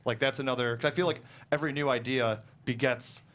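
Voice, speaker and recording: A man's voice: medium pitch, steady tone, brisk, fairly bright tone. Hesitant, rambling man in casual discussion. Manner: hesitant, rambling, often chuckles mid-sentence. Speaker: guest screenwriter. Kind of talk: casual interview discussion of own writing.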